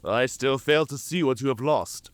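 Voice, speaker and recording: A man's voice: medium pitch, moderate pace, no echo, lightly acted. A man playing a proud, curious werewolf outcast in an audio drama, with an emphatic, theatrical delivery. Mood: probing, blunt. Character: proud, curious male werewolf outcast, devoted to pack tradition. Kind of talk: cinematic audio roleplay acting.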